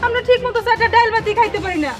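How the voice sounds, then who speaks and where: A woman's voice: very high voice, steady tone, very fast, music in the background. A woman voicing a worried, reproachful poor mother in a cartoon drama, with a Bangladeshi accent and a chiding, imploring manner. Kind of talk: cartoon comedy drama dialogue.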